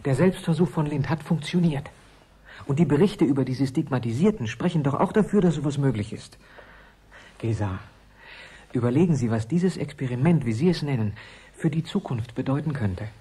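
Earnest secretive guy in a radio drama, soft dark husky voice, pushing his point. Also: medium pitch, animated, normal speed. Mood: persuasive. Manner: insistent, persuasive, earnest. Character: urgent, secretive male assistant of a dead scientist. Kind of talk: German radio play acting.

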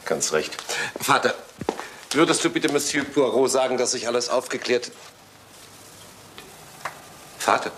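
Actor as Richard Emery, bright, brusque heir. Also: male voice, medium pitch, a little slow, pauses often, close to an everyday voice. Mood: tense, urgent. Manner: brusque, commanding, formal. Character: brusque, impatient adult son of an English lord. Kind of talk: German radio crime drama acting. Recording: faintly noisy old radio play recording.

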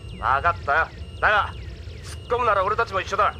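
An actor playing a brash, battle-hardened, cocky pilot with a forceful manner in an anime radio drama. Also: male voice, high voice, animated, brisk, slight background noise, heavily acted. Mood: loyal, forceful. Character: brash, hardened male combat pilot, cocky, gruffly loyal.

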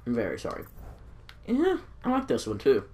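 A man's voice: medium pitch, huge pitch swings, normal speed, darkish tone. A young man with a stuffed-up nose and throat, chatting casually while playing a video game, rambling and apologizing as he goes. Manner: rambling, self-deprecating, apologetic. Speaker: gaming YouTuber. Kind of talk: casual gameplay commentary.

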